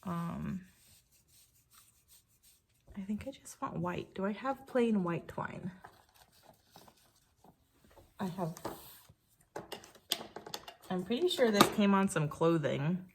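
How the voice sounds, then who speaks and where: A woman with a slightly croaky voice, chatting casually and thinking aloud while she works on a scrapbook page. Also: mid-range voice, animated, slow pace, pauses often, slight background noise. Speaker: scrapbooking creator. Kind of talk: casual crafting commentary.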